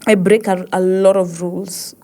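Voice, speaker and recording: A young woman with an East African accent, talking casually and reflectively as a host and poet, expressive and often repeating herself as she searches for words. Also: fairly low pitch, moderate pace. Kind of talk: casual reflective monologue with code-switching.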